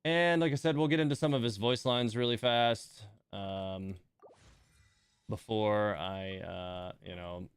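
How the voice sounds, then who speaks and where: A man's voice: lowish voice, clean tone, dry recording. A man giving casual, rambling live stream commentary on a game, thinking aloud as he plays.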